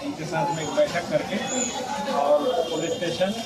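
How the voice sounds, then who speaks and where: A man's voice: on the high side, fairly even intonation, moderate pace. Man in noisy, echoey distant recording. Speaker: monk and protest movement representative. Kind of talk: spoken account to a news reporter.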